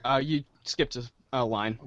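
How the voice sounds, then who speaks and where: A woman's voice: very deep voice, a little slow, no echo, highs cut off. A woman acting in a casual comic table read, hesitant and a bit exasperated, breaking off for asides. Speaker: actor in a reader's theater show.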